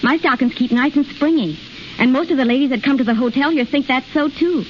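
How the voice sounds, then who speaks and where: A muffled vintage radio advert features a woman acting a helpful, chatty hotel maid. Her voice is very high, with homely salesmanship in period style. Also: fairly quick, natural voice. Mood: helpful, earnest. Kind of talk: 1930s radio commercial acting. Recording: muffled 1930s radio, faint static.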